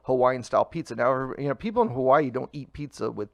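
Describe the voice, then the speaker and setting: A man's voice: mid-range voice, lively intonation, quick pace, gravelly, no room sound, muffled recording. A middle-aged man, a food truck owner, earnestly explaining in a casual interview with frequent restarts.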